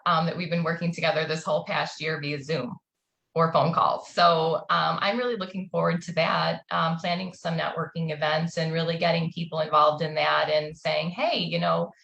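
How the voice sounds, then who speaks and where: A woman's voice: deep voice, flat delivery, normal speed, gravelly, a bit bright, reverberant. A woman on a committee panel talking in an upbeat, chatty way with frequent fillers. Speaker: committee member. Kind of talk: panel discussion.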